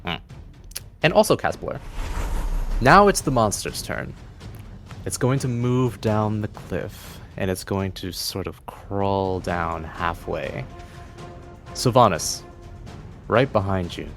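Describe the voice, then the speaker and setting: A man's voice: sits low, expressive, takes it slowly, pauses often. A man working as game master, narrating a tabletop game in a dramatic storytelling style. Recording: faint music behind the voice. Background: faint music.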